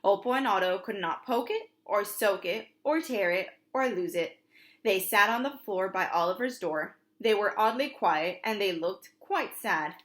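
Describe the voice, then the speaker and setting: A woman's voice: mid-range voice, lively intonation, a little slow, a bit bright. A woman preschool teacher reads a storybook aloud for young children, expressively, with simple asides to her listeners.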